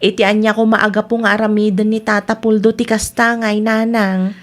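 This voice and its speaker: A woman's voice: mid-range voice, flat delivery, moderate pace, natural voice. A woman playing a grown daughter who reasons with her mother in a comedy radio drama. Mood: mild, reasoning.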